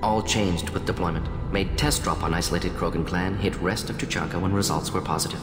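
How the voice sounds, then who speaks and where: A man's voice: sits low, steady tone, a little fast, lightly acted. A male actor playing a proud, conflicted alien scientist in a video game, speaking in clipped, telegraphic phrases with small words dropped. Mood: subdued, guarded. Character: fast-thinking alien scientist doctor, proud, conflicted, defensive. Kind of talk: dramatic video game dialogue. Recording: echoey hall reverb, music behind.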